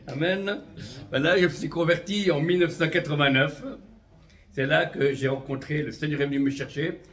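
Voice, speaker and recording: A man in his fifties, from Vietnam, tells his religious testimony as an itinerant minister. His voice sits a little high for a man, and he speaks with fervent conviction. He scatters amens and voilàs through his talk and chuckles lightly now and then. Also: fairly even intonation, normal speed, a bit bright. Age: 50s.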